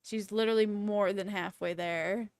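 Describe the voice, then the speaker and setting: A woman in her twenties chatting casually on a livestream in a rambling, playful, excitable and slangy way. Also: medium pitch, normal speed, smooth, no room sound. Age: 20s. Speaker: livestreamer, VTuber host.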